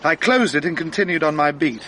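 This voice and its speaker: An actor playing an earnest, dutiful French police sergeant in a radio drama, speaking formally as if giving a step-by-step report. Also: male voice, on the high side, animated, brisk, bright, forceful tone, a little hiss or hum.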